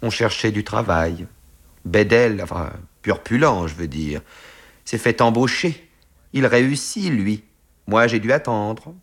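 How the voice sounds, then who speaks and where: Naive, excitable young fellow voiced by a man. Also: lowish voice, measured pace, pitched above the performer's own voice. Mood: eager, aggrieved. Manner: emotional, exclamatory.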